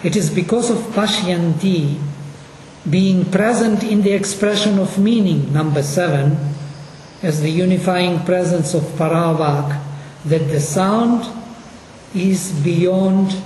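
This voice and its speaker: Brief: Russian-accented linguistics lecturer, mellow tone, slow and deliberate delivery, echoey hall with faint room hum. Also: mid-range voice. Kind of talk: course lecture. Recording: echoey hall, mic at a distance.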